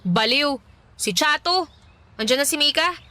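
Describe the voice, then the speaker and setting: An actress playing a brash, teasing young woman with a Filipino accent in a radio drama, sassy and quick to exclaim. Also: high-pitched, lively intonation, pushed and bright, exaggerated voice. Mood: playful, miffed. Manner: sassy, exclamatory, mock-exasperated. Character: brash, teasing young tomboyish woman, lovesick best friend. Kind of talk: Tagalog radio drama acting.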